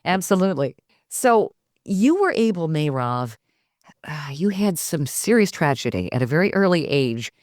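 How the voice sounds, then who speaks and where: A woman interviewer with a notably low voice that moves very expressively up and down. She keeps up upbeat affirmations and asks her guest questions. The sound is dry and close. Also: sing-song, normal speed, darkish tone, no echo. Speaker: host. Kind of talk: interview hosting.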